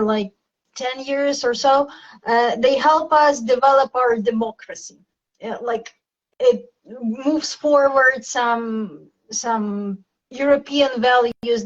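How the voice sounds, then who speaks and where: A woman from Ukraine with a Ukrainian accent, speaking casually and hesitantly as she searches for words in a conversational interview. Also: on the high side. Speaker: interview guest, teacher. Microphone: video call. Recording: dull lo-fi livestream audio.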